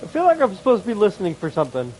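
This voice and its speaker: A man's voice: high voice, huge pitch swings, very fast, darkish tone, slight background noise, low-quality recording. A man giving casual commentary as he plays a video game.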